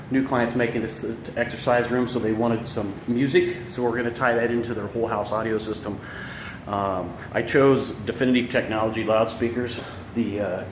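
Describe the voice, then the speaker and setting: A man presenting a casual, unscripted how-to walkthrough as a home installer, explaining step by step. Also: on the low side. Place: indoors, room of a house being remodeled. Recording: echoey room, clear background noise.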